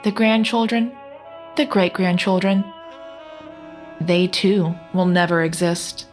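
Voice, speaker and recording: Slow, cold ghostly woman soldier. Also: on the low side. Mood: cold, haunting. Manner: slow, deliberate, relentless. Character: cold, accusing apparition posing as dead woman soldier. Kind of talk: scripted audio drama acting. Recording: music under the voice.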